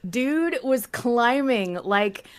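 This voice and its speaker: A woman's voice: on the high side, animated, extremely slow. A woman hosting a casual fan podcast, talking in playful bro slang with some swearing. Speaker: podcast host.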